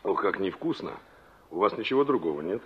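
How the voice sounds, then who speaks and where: An actor playing a wistful young engineer in an old Soviet radio play, musing to himself and polite with strangers. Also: male voice, deep voice, big pitch swings, a little fast, slightly rough, exaggerated voice. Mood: polite, wry. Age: young adult. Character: young male engineer revisiting a remembered village, wistful. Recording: noisy, dull old radio play recording.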